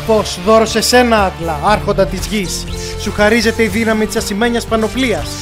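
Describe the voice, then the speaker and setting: A man's voice: sits high, steady tone, music in the background, close to an everyday voice. A man voicing a determined young cartoon hero, the lord of light, speaking in an earnest, declamatory way.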